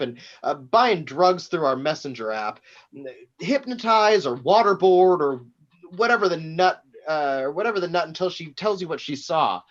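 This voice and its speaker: An actor playing a brash, demanding, foul-mouthed tech tycoon, pushy and sarcastic, in a screenplay table read. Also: male voice, high voice, muffled recording.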